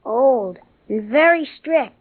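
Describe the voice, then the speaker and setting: A young schoolboy in a vintage radio play, with a very high voice. He is literal, stubborn and matter-of-fact, heard close and dry on a muffled old recording. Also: expressive, takes it slowly, no room sound, heavily acted. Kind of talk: old-time radio comedy-drama acting.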